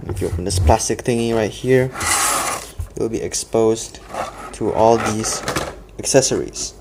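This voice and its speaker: A man's voice: on the low side, unhurried, background noise. A man casually explaining his custom figure work step by step, with occasional fillers. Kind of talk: casual product walkthrough.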